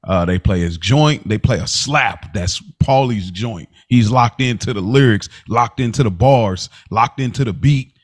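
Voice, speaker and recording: Low-voiced man hosting a casual podcast. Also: measured pace, few pauses, dry recording, clean studio sound. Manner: colloquial, slangy phrasing. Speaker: podcast host. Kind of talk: casual TV recap podcast chat.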